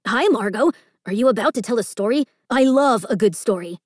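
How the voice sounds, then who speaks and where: A woman's voice: a little high, expressive, fairly quick, no room sound, studio quality. A woman voicing an eager, chatty child who loves stories, in a storybook reading.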